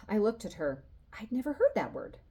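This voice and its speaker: A woman reading a children's novel aloud as an expressive storyteller, narrating in the voice of a boy and acting out the dialogue. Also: medium pitch, moderate pace, breathy edge. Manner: animated, acts out dialogue.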